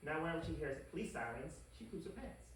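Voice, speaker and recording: An actor playing a wicked, scheming male scientist in an animated comedy table read, awkward and evasive, with a halting deadpan delivery. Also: mid-range voice, lightly acted. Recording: echoey room, distant mic, faint noise.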